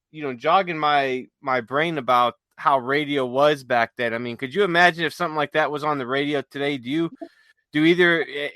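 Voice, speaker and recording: A man hosting a casual podcast, explaining things in a halting, conversational way. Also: fairly high pitch, sharp tone, dry recording. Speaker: podcast host. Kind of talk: casual panel chat.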